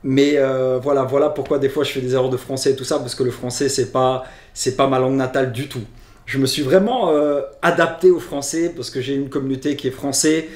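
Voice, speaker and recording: Man with a Luxembourgish accent, halting. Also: medium pitch, fairly even intonation, darkish tone, echoey room. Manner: halting, restarts phrases often. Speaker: vlogger answering fan questions. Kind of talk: casual Q&A chat.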